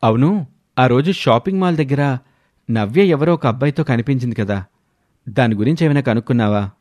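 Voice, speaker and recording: A man playing a trusting, concerned young husband in an audio story, with a gentle, questioning manner. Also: medium pitch, a little fast, no room sound, close to an everyday voice. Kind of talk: scripted audio story dialogue.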